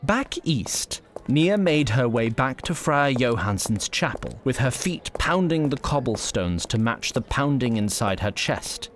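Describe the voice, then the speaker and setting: A man's voice: medium pitch, lively intonation, measured pace, a little hiss or hum. A man reading scripted narration for a fantasy story as its narrator.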